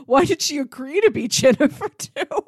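A woman's voice: sits high, expressive, moderate pace, dry recording, studio quality. A woman co-hosting a podcast, chatting casually as she reviews what she watched. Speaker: podcast co-host reviewing a TV show.

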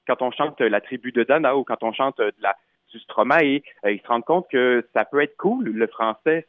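A man's voice: medium pitch, no room sound. Québécois man, dry slightly dull sound. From Quebec, Canada. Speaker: singer in a vocal group, interview guest. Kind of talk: casual interview talk.

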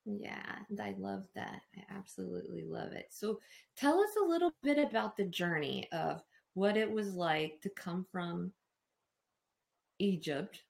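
A woman's voice: mid-range voice, expressive, measured pace, gravelly, darkish tone. A woman hosting a casual interview show, enthusiastic and affirming toward her guest. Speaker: podcast host.

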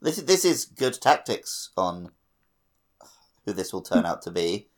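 A man's voice: deep voice, very animated, a little slow, a crowd in the background. A man co-hosting a podcast, chatting casually about a video game. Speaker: podcast co-host.

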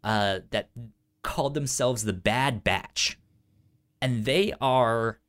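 A man with a stammer chatting casually and enthusiastically as a podcast co-host, often halting on repeated sounds. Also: medium pitch, a bit bright. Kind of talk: casual unscripted podcast chat about entertainment news.

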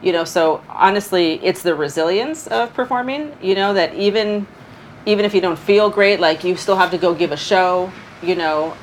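Lively woman guest acting out quotes. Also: lowish voice, moderate pace, slight background noise. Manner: lively, laughs, acts out little quotes. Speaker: guest, founder and musician. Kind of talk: casual podcast interview.

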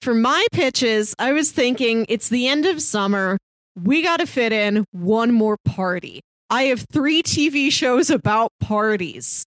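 A woman co-hosting a podcast, chatting casually and pitching shows to her co-host. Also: expressive, unhurried, no echo.

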